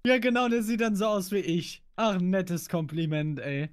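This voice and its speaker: Very high-voiced man, jokey gaming banter. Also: normal speed, clean tone, no room sound, clean studio sound. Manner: youthful slang, jokey. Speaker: gaming video co-player. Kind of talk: casual gameplay banter.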